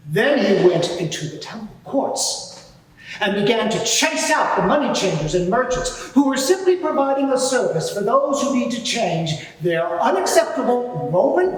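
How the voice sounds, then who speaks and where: A man playing a high-voiced, pompous high priest, echoing hall. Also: full character voice. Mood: indignant. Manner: declamatory, pompous, deliberate. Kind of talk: dramatised courtroom testimony. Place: large courtroom before a live audience. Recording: very echoey hall, distant mic.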